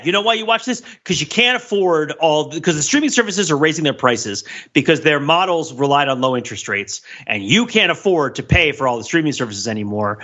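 Animated, bright-voiced man riffing. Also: on the high side, lo-fi sound. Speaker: podcast host. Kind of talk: casual panel chat and commentary.

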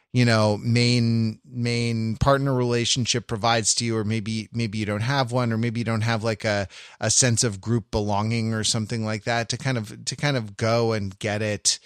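A man's voice: mid-range voice, a bit bright, dry recording. Wry American man, dry close sound. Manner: halting, circling through thoughts; wry, joking. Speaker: podcast co-host. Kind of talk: casual analytical chat.

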